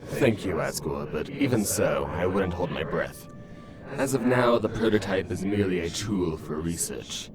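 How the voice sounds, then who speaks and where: An actor playing a calm, proud skeleton scientist in a comic dub, speaking in a formal, measured, explanatory manner. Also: male voice, sits low, over loud music. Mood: polite, reserved. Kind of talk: fan comic dub voice acting.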